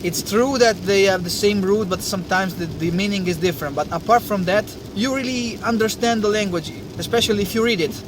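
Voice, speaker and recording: High-voiced Italian man, halting speech. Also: noisy background. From Italy. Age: young adult. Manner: hesitant, many uh fillers and restarts. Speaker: science channel host. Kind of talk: casual talk to camera.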